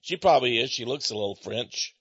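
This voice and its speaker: A man's voice: mid-range voice, lively intonation, brisk, fairly bright tone, breathy edge, no room sound, dull audio. An American man giving a rambling personal monologue to his listeners, folksy and digressive, with frequent chuckles. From United States. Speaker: speaker addressing listeners.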